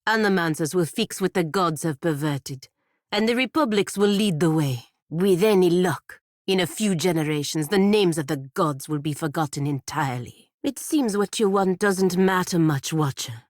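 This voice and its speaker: A woman's voice: on the low side, animated, normal speed, dry recording, studio quality, close to an everyday voice. A woman playing a proud, stern godlike warrior in a video game, speaking formally and bluntly with haughty disdain. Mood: proud conviction, then firm, assured. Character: proud, stern godlike female warrior serving her republic. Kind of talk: scripted video game character dialogue.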